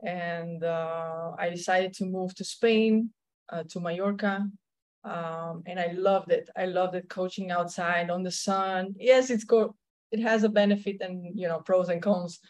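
A woman's voice: lowish voice, steady tone, a little slow, darkish tone. A woman with a Slovenian accent, a tennis coach telling her story in an interview, with many fillers and restarts. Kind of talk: conversational interview answers.

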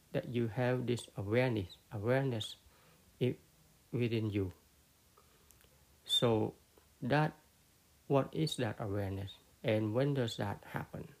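Slow, gravelly Cambodian-accented man. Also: mid-range voice, darkish tone. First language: Khmer. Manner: halting, many fillers and restarts. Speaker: Buddhist teacher. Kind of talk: unscripted dharma talk.

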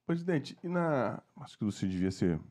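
A man's voice: medium pitch, very animated, normal speed, dark tone. A man hosting a casual podcast interview in an informal, bantering way.

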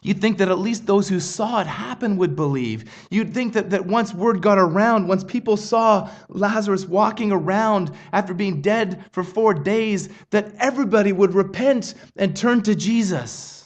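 A man preaching a sermon in an earnest, measured way, often restarting his phrases. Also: high-pitched. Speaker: pastor.

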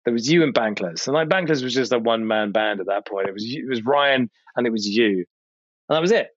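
A man's voice: medium pitch, lively intonation, fast talker, no room sound, muffled recording. A British man from the UK talking casually as a podcast guest, telling stories informally, repeating phrases for emphasis and voicing bits of dialogue. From United Kingdom. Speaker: podcast guest, video producer. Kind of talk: casual chat, animated anecdotes.

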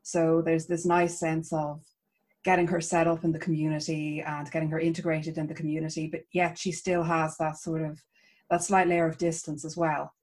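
A woman from Ireland with an Irish accent, an author chatting warmly about her writing as an interview guest. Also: low-pitched, flat delivery, moderate pace. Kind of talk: casual interview chat.

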